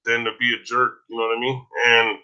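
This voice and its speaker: A man hosting a casual podcast, rambling with many restarts, his voice going hoarse from a dry throat. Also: mid-range voice, monotone, bright, forceful tone. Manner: rambling, halting with repeated restarts. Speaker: podcast host. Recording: dull lo-fi sound.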